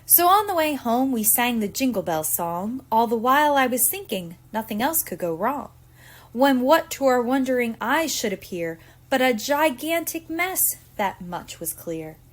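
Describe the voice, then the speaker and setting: A woman reading a picture book aloud to young children in an expressive, theatrical storytelling manner. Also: a little high. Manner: deliberate, theatrical storytelling with acted emphasis. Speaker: preschool teacher reading aloud.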